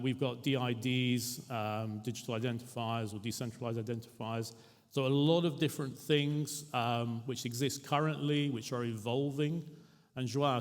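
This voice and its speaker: A man moderating a conference panel, introducing speakers and laying out topics in a measured, explanatory way. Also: mid-range voice. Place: large conference hall stage. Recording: hall reverb.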